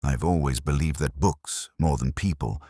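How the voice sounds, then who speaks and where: A man playing a contemplative, brooding police detective, narrating a mystery audio drama in the first person with measured, solemn, literary delivery. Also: extremely low pitch, warm tone, no echo, studio quality. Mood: brooding, reflective. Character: brooding, contemplative male police detective recounting a murder case.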